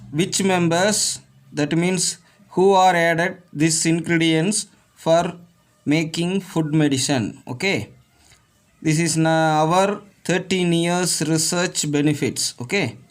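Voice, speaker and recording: Slow, halting man. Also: a little high. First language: Tamil. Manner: halting broken English, repeats "okay" between phrases. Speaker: presenter. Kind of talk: home remedy cooking demonstration.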